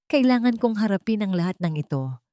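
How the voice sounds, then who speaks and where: A woman's voice: mid-range voice, expressive, a little fast, warm tone, no room sound, voice pushed up, lightly acted. Woman reading as a resolute daughter, grieving, firm, determined, melodramatic story, dry sound. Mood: firm, pained. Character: grieving, angry, resolute young woman seeking justice.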